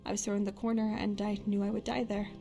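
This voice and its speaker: A woman's voice: mid-range voice, level tone, quick pace, mellow, close to an everyday voice. An actress voicing a young woman adventurer and hunter, a guilt-haunted but bold companion in a fantasy game, speaking in a natural, conversational way. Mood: haunted, regretful. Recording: music under the voice.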